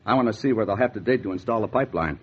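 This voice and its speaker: An actor playing a blunt, insistent, nosy brother-in-law with an American accent in a 1940s radio drama. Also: male voice, fairly low pitch, rapid-fire, slightly rough. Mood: insistent, conspiratorial. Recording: dull, muffled vintage 1940s radio recording.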